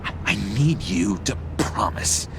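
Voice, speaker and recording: A man voicing an excitable, nervous young superfan in a video game, stammering and theatrical, with a manic streak. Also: on the low side, unhurried, noisy background. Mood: eager, scheming. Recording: music behind the voice.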